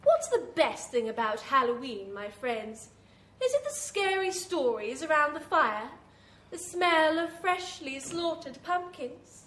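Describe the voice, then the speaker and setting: An actress playing a beguiling, gleefully macabre hostess of a 1940s-style horror radio show, with theatrical, teasing phrasing. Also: female voice, high-pitched, a bit bright, full character voice. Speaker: host. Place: small theatre before an audience. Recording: echoey live stage.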